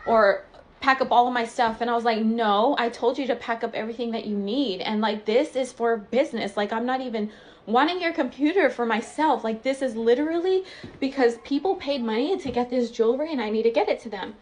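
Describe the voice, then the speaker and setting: A woman's voice: on the high side, brisk, a little hiss or hum. A woman telling a personal story in a casual, exasperated and emphatic way. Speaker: online small business owner.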